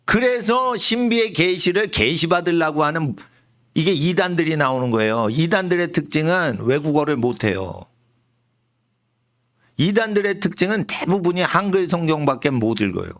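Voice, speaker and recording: A middle-aged man preaching a sermon in church, emphatic and animated, dropping English words into his talk. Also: moderate pace. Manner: animated, emphatic preaching with lively pitch swings. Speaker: pastor. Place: church sanctuary.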